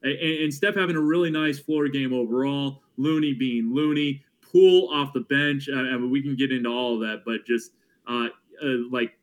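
A man who calls games for a living, a guest on a sports podcast, talking through numbers casually and correcting himself as he goes. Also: medium pitch. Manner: stat-heavy, quick self-corrections. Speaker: team broadcaster and podcast guest. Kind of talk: casual sports analysis chat.

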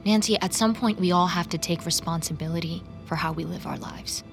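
A woman's voice: lowish voice, moderate pace, creaky, over music. A woman playing a weary, guarded, centuries-old reluctant killer in an audio drama, speaking tersely and earnestly. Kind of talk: audio fiction drama acting.

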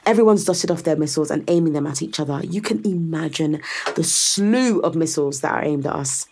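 A calculating woman in power for a science fiction radio play. Her voice sits fairly low with a husky edge. She speaks with controlled, sardonic authority, laying out her case persuasively. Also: a little fast, a little mellow. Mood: grave, matter-of-fact. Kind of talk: full-cast science fiction audio drama acting.

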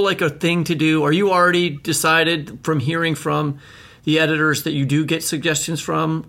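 Guy cohosting a book podcast, kinda raspy, rambles into his questions. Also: on the high side, a bit bright. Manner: rambling, hesitant questions with fillers. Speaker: podcast co-host. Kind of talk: casual interview questions.

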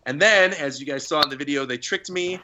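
A young man co-hosting a podcast, telling stories with excitement and laughing often. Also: on the high side, a little fast, noisy background. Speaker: podcast co-host. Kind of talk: casual podcast chat.

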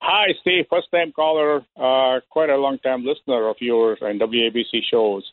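A man's voice: mid-range voice, steady tone, normal speed. A man calling in to a radio show, rambling and talking in fits and starts. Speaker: caller. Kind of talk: phone-in call. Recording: tinny phone line.

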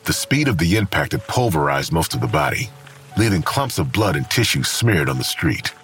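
An extremely deep American man's voice narrates a crime drama, grave and detached. The delivery is measured yet moves widely in pitch, with faint music beneath. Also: big pitch swings, fairly bright tone, full character voice. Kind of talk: scripted noir crime narration.

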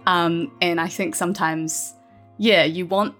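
A woman's voice: lowish voice, steady tone, unhurried, fairly bright tone, soft background music. A woman in casual, reflective discussion as a guest writer, feeling out her thoughts with tentative phrasing. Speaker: audio fiction writer, podcast guest.

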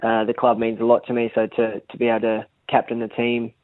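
A man from country New South Wales with an Australian accent and a rather high voice, talking easily as a guest on a phone line, with lots of fillers. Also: monotone, brisk, dry recording. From Central West of New South Wales, Australia. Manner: easygoing, many fillers and restarts. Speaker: football player guest. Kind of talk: phone interview on sports radio. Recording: dry tinny phone line.

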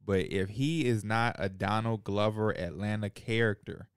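A man's voice: on the low side, normal speed, clear, resonant tone, no room sound, clean studio sound. Clean-sounding, slangy man in his twenties. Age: 20s. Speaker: podcast co-host. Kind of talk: casual chat.

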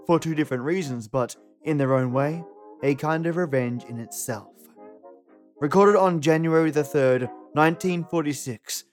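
A man hosting with a theatrical, dramatic scripted introduction and suspenseful pauses. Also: fairly high pitch. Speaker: podcast host introducing old-time radio shows. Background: music.

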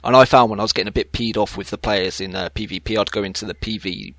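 Fast-talking British man, dry close sound. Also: on the low side, fairly even intonation, fairly bright tone, dry recording. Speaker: podcast co-host. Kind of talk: casual video game chat.

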